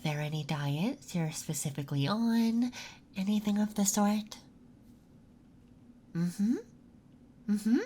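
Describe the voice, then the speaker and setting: Slow, gentle ASMR nurse voice. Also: medium pitch, a little hiss or hum. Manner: gentle, soothing, unhurried. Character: gentle, calming nurse doing a patient intake. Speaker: ASMR performer playing a nurse. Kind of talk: soft-spoken ASMR medical roleplay.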